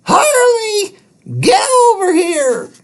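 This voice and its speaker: A man voicing a bossy neighbour woman in a children's storybook, booming and snorting as they scold. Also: big pitch swings, slow pace, fairly bright tone, exaggerated voice. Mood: angry.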